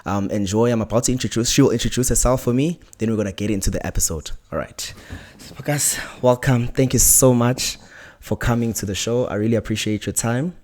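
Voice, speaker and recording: A man's voice: medium pitch, fairly quick. A young South African man hosting an interview podcast, speaking hesitantly with many restarts. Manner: hesitant, many ums and restarts, soft-spoken. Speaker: podcast host.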